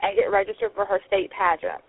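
A woman in her forties talks brightly and at ease, filling pauses with "um" as she goes. Her voice sits mid-range for a woman. It comes through a thin, tinny phone line. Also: medium pitch, fairly even intonation, gravelly. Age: 40s. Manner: upbeat, chatty, many fillers. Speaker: pageant system founder, guest. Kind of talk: casual interview over the phone.

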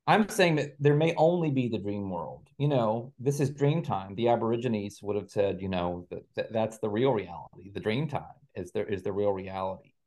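A man's voice: lowish voice, expressive, normal speed, hardly any pauses, darkish tone. A man speaking as an interview guest, explaining ideas conversationally in a reflective way, like an author discussing his work. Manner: reflective, explains through analogies and examples.

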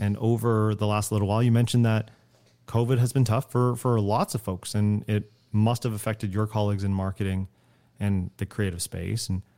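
Mellow low-voiced male interviewer. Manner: friendly, joking questions. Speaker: podcast host. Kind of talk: casual interview chat.

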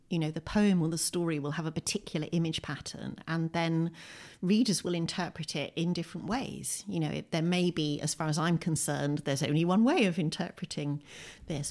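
Low-pitched, wry English woman in conversation. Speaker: interview guest, poet and fiction writer. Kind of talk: relaxed conversational interview. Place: indoors, at home.

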